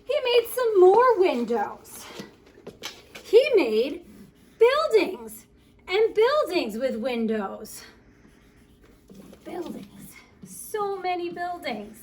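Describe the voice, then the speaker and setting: A woman reading a picture book aloud to children in an expressive, playful storytelling way, with chatty asides. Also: extremely high pitch, very slow, long pauses, slight background noise. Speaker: story time reader.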